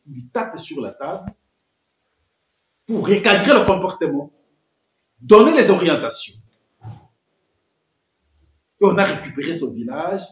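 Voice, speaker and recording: A man's voice: high voice, a bit bright, reverberant. A man giving emphatic political commentary, full of rhetorical questions and repeated phrases.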